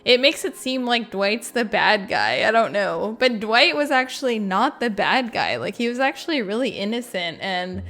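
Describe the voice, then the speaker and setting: A woman's voice: on the high side, big pitch swings, normal speed, hardly any pauses, a bit bright, soft background music. A woman giving casual reaction commentary in an informal, chatty way, with frequent use of "like". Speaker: gaming video creator.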